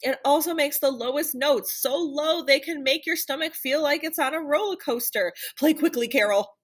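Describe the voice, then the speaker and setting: A woman reading a children's picture book aloud in an animated, theatrical storytelling manner. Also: high-pitched, normal speed. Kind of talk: expressive children's read-aloud narration.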